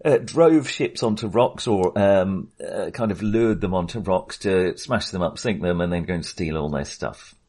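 A middle-aged British man with a low-pitched, distinctly husky voice. He chats casually about films as a podcast co-host, often pausing and groping for the right word. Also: a little mellow. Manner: hesitant, searching for words. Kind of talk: casual film discussion.

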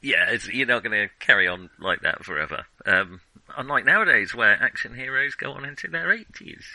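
A man's voice: mid-range voice, animated, fairly quick, gravelly, sharp tone, dry recording, poor audio. A man co-hosting a casual film podcast, chatty and hesitant, with many ums and easy laughs. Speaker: podcast co-host.

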